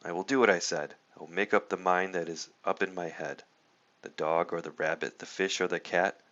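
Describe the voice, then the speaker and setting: A man reading a children's storybook aloud in an expressive, playful, rhythmic way. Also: low-pitched, a little fast, dull audio. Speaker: storybook reader.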